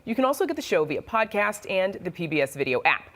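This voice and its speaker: A woman's voice: mid-range voice, big pitch swings, fast talker, a bit bright. A woman anchoring a television news show from a studio, reading a scripted sign-off.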